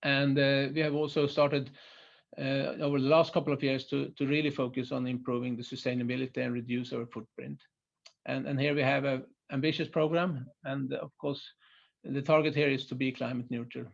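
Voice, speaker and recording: A man's voice: medium pitch, level tone, a little fast, gravelly. A man with a Swedish accent presenting his company's site in a webinar, hesitant, with many fillers and restarts. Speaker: site manager presenting a chemical plant. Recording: muffled lo-fi webinar audio.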